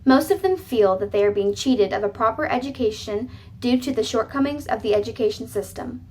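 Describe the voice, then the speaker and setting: Young woman moderator, slightly husky voice. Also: fairly high pitch, fairly quick, darkish tone. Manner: formal, polite. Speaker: debate moderator. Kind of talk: scripted mock debate moderating.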